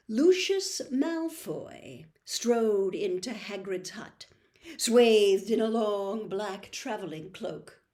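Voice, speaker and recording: A woman reading a children's novel aloud as an expressive storybook narrator. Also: medium pitch. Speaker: storybook reader.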